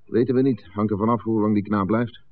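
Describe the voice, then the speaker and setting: A man's voice: on the low side, steady tone, fairly quick, close to an everyday voice. A man acting a blunt, confident village conspirator, impatient and persuasive, in a 1960s radio play. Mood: insistent. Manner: blunt, insistent. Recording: muffled, narrow-band old radio recording.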